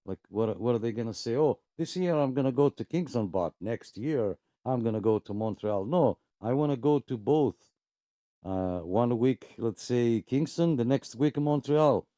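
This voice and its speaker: A man casually hosting a radio club livestream, with a hesitant, pausing delivery. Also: mid-range voice, animated, dark tone, dry recording. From abroad, moved to Canada in 2001. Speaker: host of a radio club live net.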